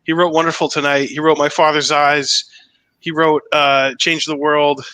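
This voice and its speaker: A man's voice: fairly high pitch, flat delivery, normal speed, pushed and bright, slight background noise. A man co-hosting a casual podcast, talking loosely and sprinkling "you know" through his sentences. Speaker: podcast co-host. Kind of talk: casual chat reading super chats.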